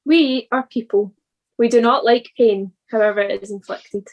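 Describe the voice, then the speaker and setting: A woman with a fairly high voice reads a scripted devotional. Her delivery is earnest and deliberate, as a church reader would give it. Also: highs cut off.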